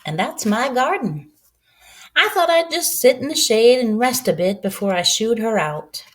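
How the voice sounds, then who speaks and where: Woman voicing a kindly old farm woman, animated lilt. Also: fairly high pitch, voice pushed up. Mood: warm, welcoming. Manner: folksy, unhurried storytelling.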